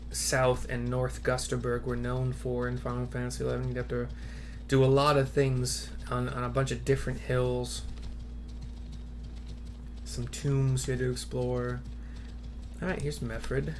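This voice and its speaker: A man giving casual gameplay commentary in a rambling, halting, offhand way. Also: medium pitch, monotone. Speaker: video game streamer. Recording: clear background noise, keyboard typing.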